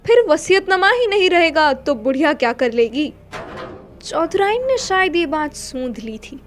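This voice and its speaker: A woman's voice: high-pitched, big pitch swings, measured pace. A woman narrating a literary story in a dramatic audio-play style. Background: faint music.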